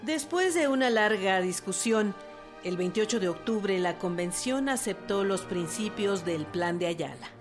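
Woman, medium pitch, formal measured narration, historical radio drama, soft music behind. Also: lively intonation.

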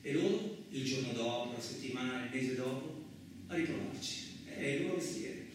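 An actor playing a coarse, suspicious, lonely truck driver in a radio theatre monologue, rambling colloquially with frequent questioning interjections. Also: male voice, medium pitch, moderate pace, lightly acted. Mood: rambling, scornful. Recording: very echoey, distant, noisy room recording.

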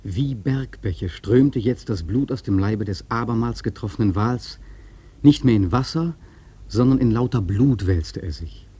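Radio drama narrator: male whaler, dark, very raspy voice, solemn literary storytelling. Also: medium pitch. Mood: grave, dramatic.

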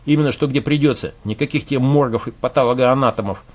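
A man's voice: medium pitch, quick pace, close to an everyday voice. A man voicing a blunt, commanding war veteran who speaks curtly and with scorn. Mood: stern. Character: tough, blunt male leader, war veteran.

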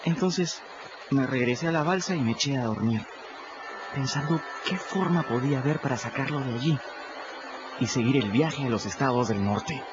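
Narrador masculino serio y reflexivo. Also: male voice, moderate pace, noisy background. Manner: earnest, reflective, rises in pitch when moved. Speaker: narrator voicing a boy. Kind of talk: first-person radio drama narration. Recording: muffled radio audio with music.

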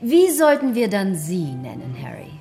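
A woman acting an elegant, flirtatious duchess who trades playful, crisp repartee in a literary radio play. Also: mid-range voice, huge pitch swings, moderate pace, dark tone, soft background music. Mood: playful, sparring.

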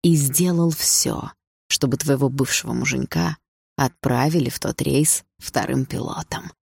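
A woman's voice: a bass voice, normal speed, vocal fry, darkish tone, slightly rough, no room sound, studio quality, heavily acted. A woman voicing a menacing, obsessive and smug husband with an insinuating delivery in an audiobook. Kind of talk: audiobook character dialogue.

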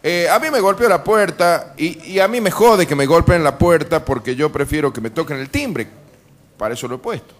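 A man's voice: on the high side, expressive, moderate pace. An Argentine man hosting a radio show with humorous, casual banter, telling anecdotes in a wry, colloquial, sweary way. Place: live radio broadcast from a bar.

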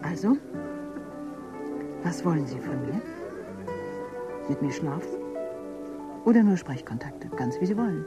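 A woman's voice: sits low, expressive, a little slow, pauses often, loud music behind the voice. An actress playing a troubled woman who works as a paid conversation companion in a dystopian radio drama, talking compulsively. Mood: detached, flirtatious. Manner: compulsive, rambling talk. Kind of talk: German radio drama acting.

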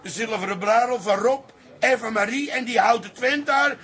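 A very high, sharp-edged old father in a radio comedy. He is a loud, chuckling tease who delights in mocking his relatives. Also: normal speed. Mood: teasing reminiscence. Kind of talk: radio comedy drama acting.

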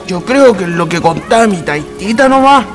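A man's voice: sits high, lively intonation, moderate pace, over music. An actor playing a simple, earnest country man with a rural Chilean accent in a radio drama. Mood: insistent.

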